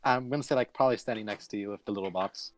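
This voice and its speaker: Low-voiced man playing a tabletop game. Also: very fast. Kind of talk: casual improvised roleplay chat. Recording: music playing behind the voice. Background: background music.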